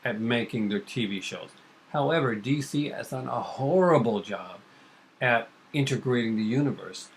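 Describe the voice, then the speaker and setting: Earnest man with a mid-pitched voice. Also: animated, moderate pace. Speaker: co-host of a comics and TV talk show. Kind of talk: casual opinionated discussion.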